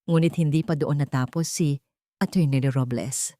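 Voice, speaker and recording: Woman storyteller, kinda low voice, dramatic scripted narration, very dry sound. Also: normal speed, mellow, dry recording.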